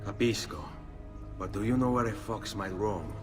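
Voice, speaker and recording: A man's voice: on the low side, moderate pace, over music, close to an everyday voice. An actor playing a determined young nobleman turned assassin with an Italian accent, courteous but direct in a game cutscene. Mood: discreet. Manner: earnest, polite but firm. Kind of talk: video game cutscene acting.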